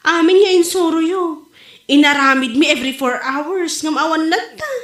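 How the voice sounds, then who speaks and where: A woman's voice: sits high, normal speed, fairly bright tone. A woman with an Ilocano accent acting a frank village woman in a comedy drama. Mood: plaintive. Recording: roomy echo.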